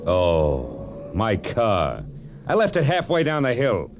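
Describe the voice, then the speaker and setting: An actor playing a wry, tough private detective narrating his case in clipped, deadpan 1940s radio drama style. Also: fairly low pitch, takes it slowly, dull audio, a little hiss or hum, heavily acted. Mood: urgent. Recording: vintage radio recording with music behind.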